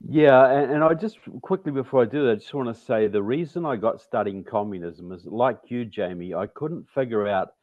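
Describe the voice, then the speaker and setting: A man's voice: mid-range voice, moderate pace, few pauses, dry recording. A man with a New Zealand accent giving conversational commentary as an interview guest. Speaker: interview guest, author and political activist. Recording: tinny, narrow phone-like call audio.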